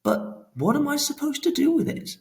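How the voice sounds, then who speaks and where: A man voicing a blunt, impatient young girl in a storybook reading, pitched well above his own voice. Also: big pitch swings, moderate pace, creaky, exaggerated voice. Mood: sharp. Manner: exasperated, scolding.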